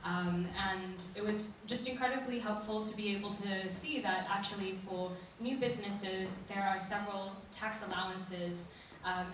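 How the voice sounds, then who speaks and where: A woman's voice: medium pitch, a bit bright, a little hiss or hum. A woman speaking as an entrepreneur guest on a live panel, fluent and upbeat. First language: English. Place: conference room with audience. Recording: echoey hall, voice from a distance.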